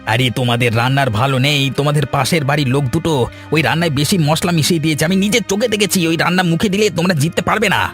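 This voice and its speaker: Guy doing a busy helpful village man in a cartoon, talks really fast, light music behind. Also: fairly high pitch, a bit bright, close to an everyday voice. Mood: urgent. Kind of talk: Bengali village cartoon voice acting.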